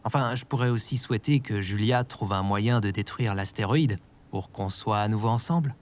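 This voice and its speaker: A man playing an anxious, naive lone human in a French audio drama, earnest, hesitant and expressive. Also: fairly low pitch, moderate pace, natural voice. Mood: hopeful. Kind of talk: scripted French audio fiction acting.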